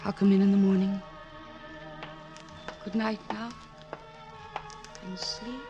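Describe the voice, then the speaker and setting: A woman's voice: mid-range voice, sing-song, slow pace, highs cut off, soft background music. An actress playing a caring, firm woman psychiatrist, controlled and insistent, in 1940s radio drama style. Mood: soothing, insistent. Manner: controlled, soothing yet insistent.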